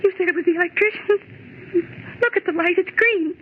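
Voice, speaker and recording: A woman's voice: very high voice, fairly quick. An actress playing a fragile, terrified young woman office worker in 1940s radio horror style, melodramatic and hysterical. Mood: horrified, morbid. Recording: old radio recording, narrow tinny band, hiss.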